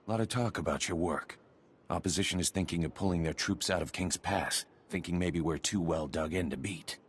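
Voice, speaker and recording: A watchful, terse operative from a video game. His voice is low and husky. Sentences come out short and clipped. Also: moderate pace. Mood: brisk, guarded.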